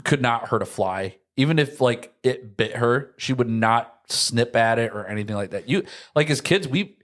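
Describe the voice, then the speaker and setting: A man's voice: medium pitch, expressive, normal speed, a bit bright. A young American man chatting casually and telling childhood stories in slangy language, acting out moments as he goes. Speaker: podcast host.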